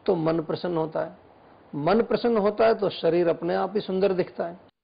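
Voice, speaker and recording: A male Ayurvedic doctor on a studio health show, calmly explaining remedies and advice step by step. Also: sits high, normal speed, vocal fry, highs cut off. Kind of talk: studio health talk, advising callers.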